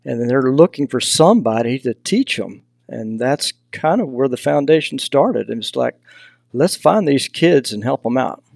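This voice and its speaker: A man's voice: medium pitch, animated, normal speed, creaky, darkish tone, no room sound, studio quality. An older man from Texas with a Southern accent, telling stories in a casual, folksy way as an interview guest. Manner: folksy, anecdotal. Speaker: podcast guest, hunting mentor and foundation founder.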